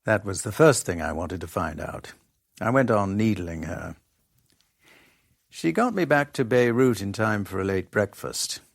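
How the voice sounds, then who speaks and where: An actor playing a sceptical, self-assured foreign correspondent in a radio drama, with a wry and dismissive manner. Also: male voice, fairly low pitch, animated, measured pace, vocal fry, no room sound, clean studio sound, exaggerated voice. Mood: reflective. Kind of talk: radio drama acting and first-person narration.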